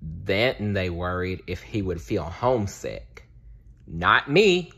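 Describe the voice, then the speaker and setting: A man narrating a children's storybook aloud in a deliberate, expressive read-aloud style. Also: low-pitched, vocal fry, slightly rough. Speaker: storybook reader.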